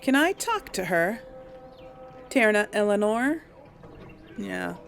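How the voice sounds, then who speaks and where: A woman's voice: mid-range voice, sing-song, slow pace, vocal fry, fairly bright tone, soft background music. A woman giving casual let's play commentary, hesitantly thinking aloud as she goes. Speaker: gaming video host and player.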